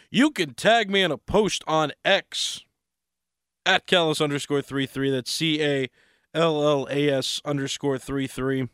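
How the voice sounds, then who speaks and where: An American man hosting a sports talk radio show in a casual, unhurried monologue, pausing mid-thought, his voice slightly hoarse. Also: fairly high pitch, a bit bright, no echo, studio quality.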